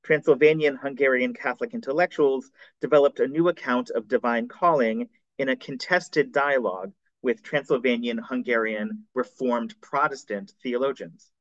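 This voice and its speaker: A man reads his seminar paper in a careful, formal cadence, correcting the odd slip as he goes. His voice sits at an ordinary pitch for a man. The recording is dry and somewhat dull and muffled. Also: fairly bright tone, no room sound. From United States. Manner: measured, formal reading with occasional slips. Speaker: scholar presenting a seminar paper. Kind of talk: reading a prepared academic paper.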